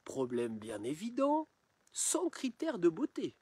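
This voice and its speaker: A man reciting satirical rhymed verse in a theatrical, measured, declamatory storytelling manner. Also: high-pitched, wildly swooping pitch, mellow, husky, no room sound. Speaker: poem reciter.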